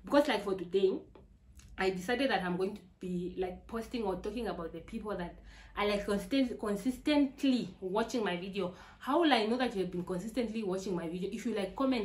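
A woman's voice: medium pitch, quick pace, darkish tone. Chatty Namibian woman, echoey room. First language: not English. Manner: chatty, repeats herself, frequent "like" fillers. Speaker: YouTuber. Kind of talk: casual talk to camera.

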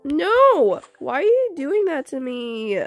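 High-voiced chatty young woman. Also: big pitch swings, takes it slowly, no echo, soft background music. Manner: chatty, informal, self-interrupting. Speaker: video creator. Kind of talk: casual game house-tour voice-over.

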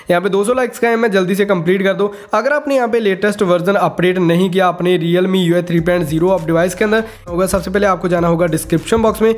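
A man hosting a casual step-by-step tech tutorial, mixing English words and stock phrases into his speech. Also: high voice.

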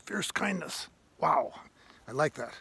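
Older man, reflective, higher-pitched voice. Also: animated, creaky, bright, forceful tone, slight background noise. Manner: thoughtful, halting delivery with repeated emphatic phrases. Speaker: vlogger, retired parish priest. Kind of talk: reflective informal sermon to camera while walking. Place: outdoors on a grassy woodland trail. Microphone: handheld phone at arm's length.

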